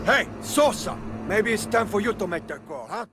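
An actor playing a vengeful, foul-mouthed Cuban drug lord with a Cuban accent in a video game. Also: male voice, high-pitched, expressive, moderate pace. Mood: furious, vengeful. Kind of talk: video game cutscene acting. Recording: music behind the voice.

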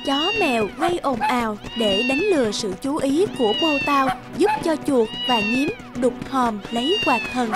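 A woman's voice: sits high, lively intonation, normal speed, few pauses. A woman narrating a scripted fairy tale for a cartoon. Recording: music under the voice.

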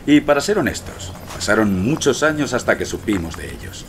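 An actor playing an eloquent, romantic archaeologist who narrates his own story in a poetic, measured way. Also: lowish voice, very animated, background noise. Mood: recounting. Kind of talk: dramatic first-person scripted narration.

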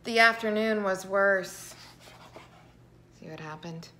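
A middle-aged woman reading a picture book aloud to children with expressive, dramatic emphasis. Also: mid-range voice, slow pace, a little hiss or hum. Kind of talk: animated children's storybook read-aloud. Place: indoor living room with piano.

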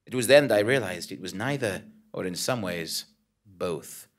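A man playing an earnest, pompous investigative journalist, narrating his adventure theatrically with wordy, dramatic pauses on a small stage. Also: deep voice, expressive. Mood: awed. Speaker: narrator in a comedy audio drama.

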